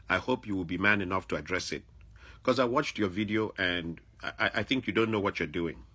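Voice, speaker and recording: Man, low-pitched, husky, emphatic, admonishing, opinionated vlog monologue. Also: sharp tone. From Africa. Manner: emphatic, repeats phrases for stress; confrontational, admonishing. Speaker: vlogger. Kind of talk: opinionated video monologue addressed to another person.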